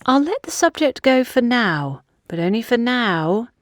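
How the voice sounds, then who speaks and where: A woman's voice: fairly high pitch, big pitch swings, measured pace, no echo, clean studio sound, voice pushed up. A woman voicing an insistent, gossipy older woman in an audiobook, emphatic and confiding. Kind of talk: audiobook character dialogue.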